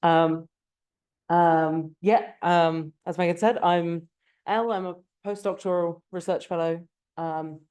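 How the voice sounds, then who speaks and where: A low-pitched woman from England gives an academic seminar talk. She speaks hesitantly and fills her pauses with ums and uhs. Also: fairly even intonation, a little mellow, clean tone. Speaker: guest speaker, postdoctoral researcher.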